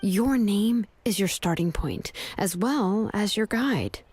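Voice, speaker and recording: A gentle, easygoing woman smith. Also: mid-range voice, animated, takes it slowly, soft background music. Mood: comforting. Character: laid-back, caring adult woman smith. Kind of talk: video game voice acting.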